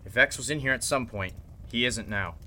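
Confident district attorney, male actor for a golden age radio mystery: take-charge, suspicious, sharp forceful tone. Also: mid-range voice, fairly quick. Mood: commanding, reassuring.

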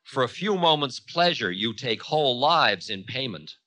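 A man's voice: mid-range voice, sing-song, normal speed, pushed and bright, no room sound. A man voicing a mocking, fearless demon king who makes scornful, grandiose declarations in a mythological story.

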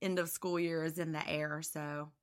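A woman co-hosting a podcast, a teacher whose voice is slightly hoarse, talking in a friendly, chatty way with some restarts. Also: low-pitched, level tone, moderate pace. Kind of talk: casual podcast chat.

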